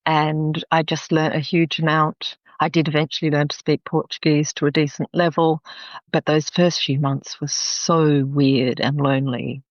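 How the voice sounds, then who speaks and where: A woman in her fifties with an Australian accent, chatting as a podcast guest. She tells her stories in a lively way and laughs often. The sound is dry and a little dull. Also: low-pitched, moderate pace, no room sound. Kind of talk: podcast interview.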